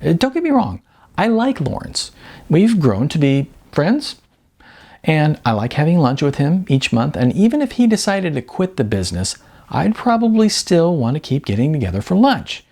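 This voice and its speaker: Man voicing a blunt, gravelly female talent agent. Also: on the high side, big pitch swings, moderate pace, a little mellow, voice pushed up. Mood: matter-of-fact, emphatic. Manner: emphatic, patronizing, chatty, uses endearments. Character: blunt, brisk middle-aged female modeling agent. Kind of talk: audiobook character dialogue.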